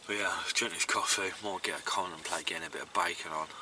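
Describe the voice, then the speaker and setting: A man's voice: low-pitched, fast, slight background noise. A man vlogging outdoors at a lakeside, talking casually to camera with lots of fillers and sounding tired and congested. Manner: relaxed, chatty, with many fillers. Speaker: fishing vlogger.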